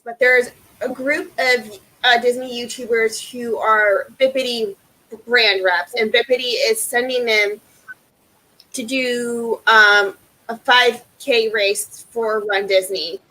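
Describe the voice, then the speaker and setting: Bright, slow-paced Midwestern woman. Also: a little high, steady tone, low-quality recording. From Illinois, US. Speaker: livestream co-host. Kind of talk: casual livestream chat.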